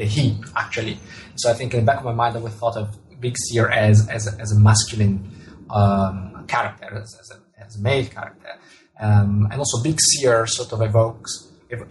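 A man's voice: on the low side, darkish tone, a little hiss or hum, rough recording. A man with an Italian accent, an author answering interview questions thoughtfully, often repeating words and restarting his sentences. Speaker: author and astronomer.

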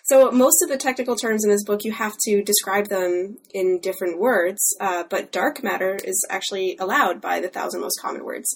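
A woman's voice: mid-range voice, normal speed, few pauses, breathy edge. A woman interviewing an author, asking careful, thoughtful questions about his book.

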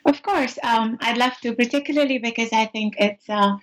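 A woman from Pakistan, an anthropology lecturer, answering interview questions thoughtfully with frequent pauses and ums. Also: on the high side. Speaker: academic guest, anthropologist. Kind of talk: academic interview conversation.

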